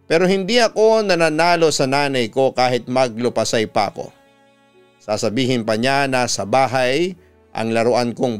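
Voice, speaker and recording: A man with a Filipino accent narrating a scripted story as a radio announcer, measured and clearly enunciated. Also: medium pitch. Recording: music bed under the voice. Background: music.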